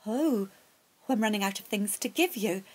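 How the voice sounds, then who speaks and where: A woman storyteller voicing a kindly village mother who speaks eagerly, pleading and flustered, in a higher voice. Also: lively intonation, normal speed, darkish tone, husky.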